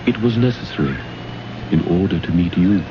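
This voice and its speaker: Low-voiced, cryptic alien man. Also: animated. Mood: wry, composed. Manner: measured, deliberate, grave. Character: calm, cryptic alien man guarding his world. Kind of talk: old-time radio drama acting. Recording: noisy, muffled old radio recording.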